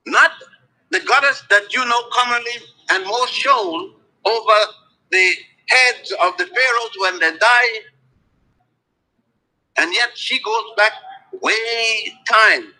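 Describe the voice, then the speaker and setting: A man giving a spoken lecture in a deliberate, emphatic way, letting his pitch fall at the ends of phrases. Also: expressive, vocal fry, pushed and bright, low-quality recording.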